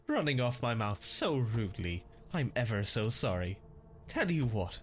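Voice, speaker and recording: A male actor playing a sinister, fawning castle physician in a dark fantasy audio drama, unctuous and deliberate. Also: mid-range voice, a little hiss or hum, close to an everyday voice. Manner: unctuous, deliberate, chuckling menace.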